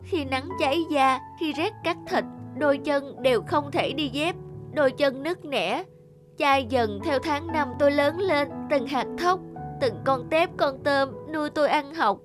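An earnest teenage student in a cartoon, devoted and polite. The voice is youthful and fairly high, with clear music underneath. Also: female voice, unhurried. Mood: heartfelt. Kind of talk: animated moral tale acting.

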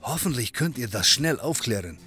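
An actor playing a friendly European barkeeper in a comedy audio drama, easygoing and confiding in manner. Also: male voice, mid-range voice, expressive, normal speed, pushed and bright, faint music behind. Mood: helpful. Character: friendly European barkeeper, helpful male pub owner.

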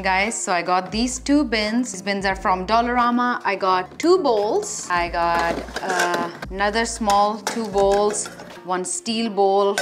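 A woman's voice: medium pitch, fairly bright tone. Chatty woman listing her travel packing. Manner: chatty, lists items one by one with small fillers. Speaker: vlogger showing her packing. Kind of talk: casual show-and-tell.

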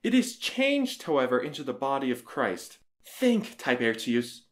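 A man's voice: mid-range voice, very animated, measured pace. A man playing a zealous, newly converted young Roman husband in an audio drama, earnest and emphatic. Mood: fervent.

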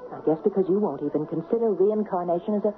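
A woman's voice: fairly low pitch, quick pace, lightly acted. An actress playing an earnest, anxious young woman in love in a radio drama, with a dramatic, pleading delivery. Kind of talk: 1970s radio drama acting. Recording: muffled 1970s radio broadcast with music.

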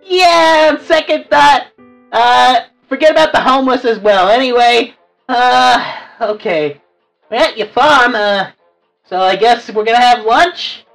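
A woman plays a small, grumpy cartoon devil with a high, whiny voice. The delivery is slow and petulant, full of complaint. The mic sounds a little distant, with faint music behind. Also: gravelly, a bit bright. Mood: reluctant. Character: short, grumpy, whiny cartoon devil who hates work. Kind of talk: improvised cartoon roleplay.

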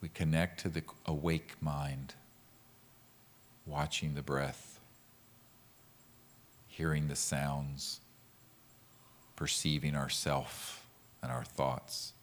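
A man leading a guided meditation as a teacher, speaking in a calm, gentle, measured way with pauses. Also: rumbling low. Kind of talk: guided meditation with mantra instruction.

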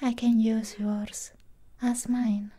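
A young woman voicing a sweet, clingy android doll nurse in an ASMR roleplay, soft and whispery with halting phrases. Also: on the high side, little pitch movement, noisy background. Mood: tender, possessive.

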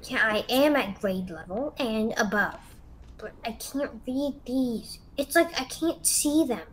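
Anxious little schoolgirl, played by a woman, slow halting delivery, slightly high, faint home-recording noise. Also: expressive, rough recording. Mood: proud. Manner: hesitant, halting. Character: anxious young schoolgirl, unwell but proud of reading. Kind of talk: amateur voiced roleplay.

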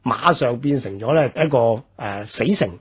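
A man with a Hong Kong Cantonese accent explaining history in a measured radio discussion, with many fillers. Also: medium pitch, breathy edge. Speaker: history commentator. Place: radio studio.

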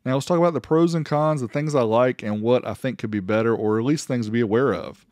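A man's voice: medium pitch, fast talker, darkish tone, no room sound, clean studio sound. A man giving an informal, plainspoken review voice-over as the host of a product channel. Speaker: host reviewing car audio amplifiers.

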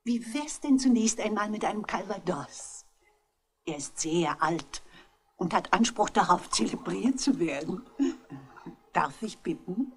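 A woman's voice: medium pitch, lively intonation, measured pace. An elderly, well-bred widow in a vintage radio play. Her delivery swings expressively up and down, theatrical and gracious, sweetly coaxing with a sly edge. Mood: gracious hostess. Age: older. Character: genteel elderly French widow, charming, sly, sweetly manipulative. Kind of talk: 1960s German radio crime drama acting.

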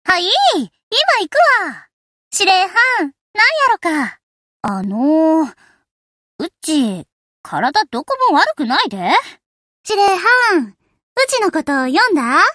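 A very high, girlish anime warship girl with a strong swooping range. She speaks with a Kansai accent, folksy and cheerful, like someone you can depend on. The delivery is easygoing and made for game voice lines. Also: sing-song, slow, fairly bright tone, no room sound, clean studio sound, heavily acted. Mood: softer, concerned. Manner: folksy, casual, perky. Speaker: voice actor of a game character.

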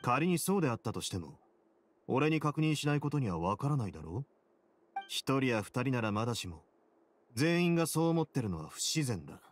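A man playing a composed, shrewd prison duke in an anime game, explaining things in a calm, measured, confident way. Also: medium pitch, slight background noise, close to an everyday voice. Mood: calm, reasoning.

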